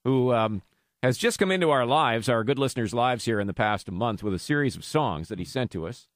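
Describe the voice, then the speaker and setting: A man's voice: medium pitch, animated, fairly quick, no echo, clean studio sound. A man hosting a sports radio show with casual banter, joking in a wry, mock-serious way. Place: radio studio.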